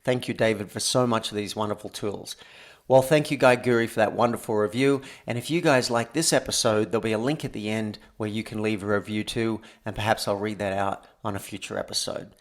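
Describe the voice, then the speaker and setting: A man speaking as a podcast host and coach, explaining things in a measured, conversational way. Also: fairly low pitch, fairly even intonation. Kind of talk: conversational coaching and show introduction.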